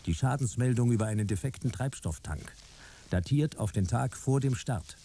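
An actor playing the wry male narrator of a detective radio drama, delivering scripted lines in a dry, clipped, deadpan way. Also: medium pitch, normal speed, vocal fry, a little hiss or hum, lightly acted.

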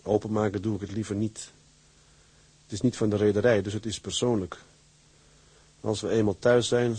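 An actor playing a resolute, burdened sea captain in a radio drama. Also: male voice, fairly low pitch, a little mellow, slightly rough. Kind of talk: radio drama acting with letter-reading monologues.

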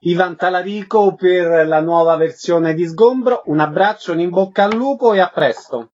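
A man's voice: high voice, moderate pace, darkish tone. A man hosting a radio show, putting questions to guests in an interview style. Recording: slightly dull radio sound.

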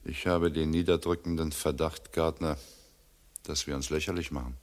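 A dry, skeptical police inspector with a very low voice. He speaks tersely and grumbles his way through a radio crime drama. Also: steady tone, normal speed.

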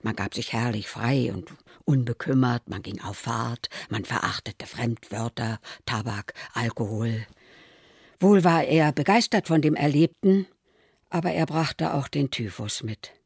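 An older woman playing an aging mother who soberly recalls her troubled son in a radio play, her voice low, husky and creaky. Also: expressive, a little slow, no room sound, studio quality, heavily acted. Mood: sober recollection.

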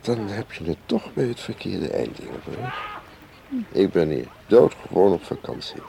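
Low, creaky, suave spy. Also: male voice, big pitch swings, unhurried, warm tone, full character voice. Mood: cool, evasive. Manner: ironic, leisurely, ends remarks with questioning tags. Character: suave, cagey male foreign spy, teasing and unhurried. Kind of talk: radio drama acting. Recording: clearly hissy old radio play recording.

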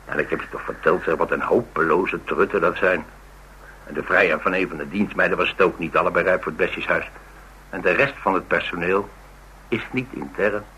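A talkative, nosy eyewitness with a fairly deep and very bright, forceful voice. He tells his story in a wry, colloquial way, as radio drama dialogue. A slight noise sits on the recording. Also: a little fast, rough recording. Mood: eager, wry. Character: inquisitive male eyewitness recounting suspicious events, wry and talkative.

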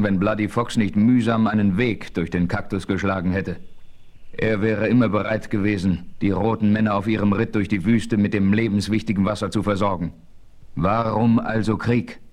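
A man playing a frontiersman hero who narrates his adventure in a measured, authoritative way in a German radio play. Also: on the low side, a little mellow, lightly acted. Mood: firm.

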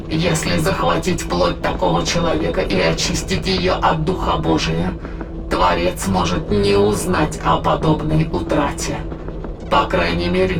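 A performer in an audio play voicing a sinister ancient demonic spirit that speaks in solemn, declamatory, archaic phrases with a gravelly, creaky voice. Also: medium pitch. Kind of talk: dramatized audio play, formal archaic monologue. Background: dramatic background music.

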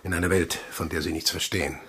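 An actor playing a gruff, weary, commanding intelligence chief in a German radio drama, terse and deliberate, with a husky, gravelly voice. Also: male voice, low voice, fairly even intonation, a bit bright. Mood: reproachful.

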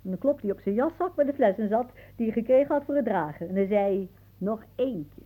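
Husky, whimsical old aunt. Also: female voice, on the high side, animated, fairly quick, phone-quality sound. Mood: playful, musing. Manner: musing, theatrical, rambling, drifting into hushed asides. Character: whimsical, dreamy elderly aunt reminiscing in her garden. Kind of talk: radio play acting.

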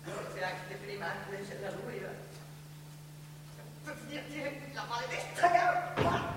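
A woman's voice: medium pitch, expressive, slow. An actress playing an anxious, apologetic Florentine mother in a stage drama, pleading and emotional, with a Tuscan accent, in a hall. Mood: wistful. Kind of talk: live stage drama acting. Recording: very echoey noisy hall from far off.

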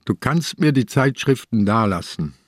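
An actor playing a shrewd, stubborn old bedridden police inspector in a radio crime drama, husky, terse and dry. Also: male voice, mid-range voice, expressive, normal speed, no room sound. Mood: guarded.

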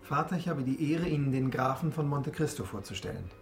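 A man's voice: medium pitch, expressive, fairly quick, soft background music, close to an everyday voice. A man acting an eager, talkative young viscount in a German radio drama, with urbane, courteous manners. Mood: formal, proud. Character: young Parisian viscount, male, eager, chatty, gallant son.

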